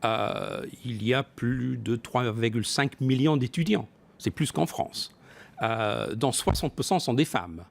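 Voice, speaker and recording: A man speaking as an expert guest in a broadcast business interview, often pausing to rephrase and repeat his words. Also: medium pitch, animated, vocal fry. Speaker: business expert guest, former company executive.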